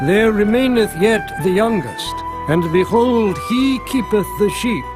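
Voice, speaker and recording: Guy doing a humble bible-times dad, really high voice, deferential, music behind. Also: expressive, a little slow. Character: Jesse, humble father of many sons. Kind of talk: dramatized scripture reading. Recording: music bed under the voice.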